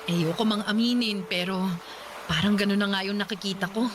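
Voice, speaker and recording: A woman's voice: mid-range voice, fairly even intonation, brisk, lightly acted. A woman acting a worried middle-aged mother with a Filipino accent in a fretful, emotive Tagalog radio drama. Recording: music behind the voice.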